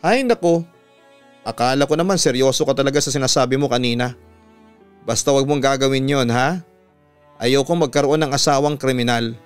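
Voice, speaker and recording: A man's voice: medium pitch, brisk, pauses often, smooth, faint music behind, natural voice. A Filipino man voicing a young woman, a scolding but affectionate sweetheart, in a narrated story. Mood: relieved, warning.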